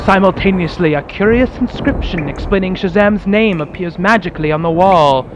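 High-voiced theatrical male radio narrator. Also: moderate pace, highs cut off, background noise. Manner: theatrical, announcer-like. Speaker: podcast host and narrator. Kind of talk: dramatic old-time radio serial narration.

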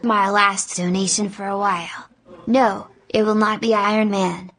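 A woman reading out a viewer donation in a deadpan manner. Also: medium pitch, measured pace, loud music behind the voice. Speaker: donation reader.

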